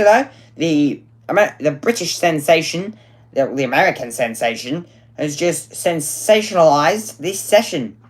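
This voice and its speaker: A woman with a notably low, deep voice calls a motor race qualifying session live. Her delivery is chatty and loose, filled with ums, and she corrects herself on the fly as she goes. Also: darkish tone. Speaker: motorsport commentator.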